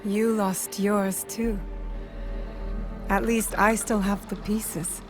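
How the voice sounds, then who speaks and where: A woman playing a compassionate but resolute fighter in a historical game drama, soft-spoken and sincere. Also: medium pitch, little pitch movement, slow pace, music in the background, natural voice. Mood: gentle, reflective. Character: compassionate, resolute female fighter of feudal Japan. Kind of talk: dramatic game cutscene acting.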